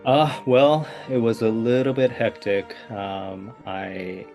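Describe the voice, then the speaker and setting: A man, a composer answering interview questions in a soft, hesitant way with many fillers. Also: mid-range voice, steady tone, takes it slowly, gravelly, faint music behind. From South Korea. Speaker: guest composer.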